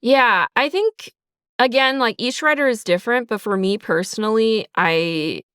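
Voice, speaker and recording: Smooth-voiced woman in a casual interview. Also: on the high side, measured pace, fairly bright tone, dry recording, studio quality. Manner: talkative, thinking aloud, acts out characters' thoughts in asides. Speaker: writer and creator of an audio drama, also voice actor. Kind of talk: casual behind-the-scenes interview.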